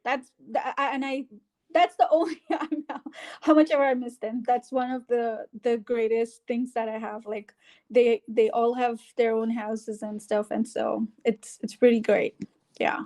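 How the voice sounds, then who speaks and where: A woman's voice: on the high side, level tone, a little slow, a little mellow. A middle-aged woman from India with an Indian English accent, telling her life story in an interview, with many fillers and restarts. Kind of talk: casual conversational interview.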